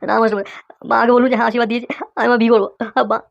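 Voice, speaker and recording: Whiny lazy cartoon boy, really high voice, talks super fast. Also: little pitch movement, gravelly, muffled recording, very noisy. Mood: pleading. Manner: pleading, whiny, peppered with exclamations. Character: lazy whiny schoolboy cartoon rascal dreaming of marriage. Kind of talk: comic cartoon dialogue.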